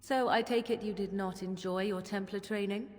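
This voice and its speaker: An actress playing a cool, dry-witted companion in a fantasy video game, with a sardonic, needling manner. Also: female voice, medium pitch, normal speed, faint music behind, close to an everyday voice. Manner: deadpan, sardonic. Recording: long reverb, noisy with clicks.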